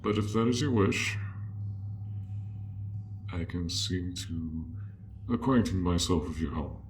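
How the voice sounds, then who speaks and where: A man voicing a gentle, awkward dragon in an audio roleplay, with formal, old-fashioned, hesitant phrasing, speaking straight to the listener. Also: sits low, slight background noise. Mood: puzzled, softening. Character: courteous, awkward, gentle male dragon, formal and hoard-proud.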